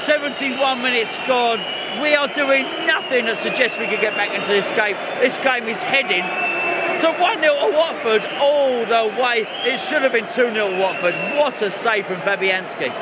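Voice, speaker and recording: A man with a high-pitched voice gives glum, halting football commentary to camera. He is outdoors in a stadium, with a very loud crowd and heavy noise all around him. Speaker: fan vlog host.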